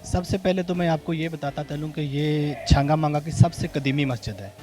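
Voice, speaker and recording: A man's voice: a little high, normal speed, darkish tone, soft background music. A man with a Pakistani Punjabi accent giving explanatory interview answers, going step by step and repeating phrases. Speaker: mosque organiser being interviewed. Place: on location at a mosque.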